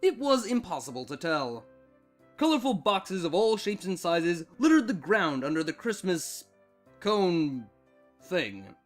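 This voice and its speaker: A man with a high-pitched voice narrates a story from a script. His delivery is expressive and varied, with music playing underneath. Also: a little slow. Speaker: fan fiction narrator.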